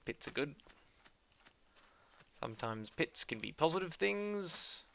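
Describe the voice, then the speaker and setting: A man's voice: fairly high pitch, big pitch swings, slow pace, gravelly, fairly bright tone, a little hiss or hum, voice pushed up, exaggerated voice. A man putting on a playful mock Australian accent while giving rambling let's-play commentary. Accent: put-on Australian, based on a New Zealand acquaintance. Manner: playful, self-mocking, rambling.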